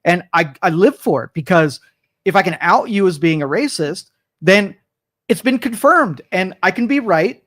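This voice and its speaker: A man's voice: high voice, animated, moderate pace, a bit bright. A man hosting a studio talk show, arguing political opinions in a rambling way with many restarts and mock quotes.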